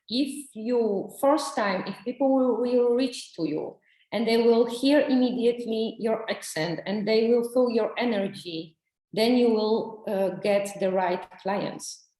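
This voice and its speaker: Slow-spoken woman. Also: medium pitch, darkish tone, roomy sound, poor audio. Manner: halting, searching for words, many restarts. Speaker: coaching client. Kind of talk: testimonial interview.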